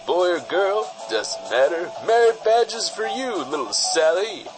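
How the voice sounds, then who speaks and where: A man's voice: sits high, big pitch swings, a little slow, over music. A man playing a slick, folksy washed-up film star giving a hammy, paternal parody public service announcement. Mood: smarmy, upbeat.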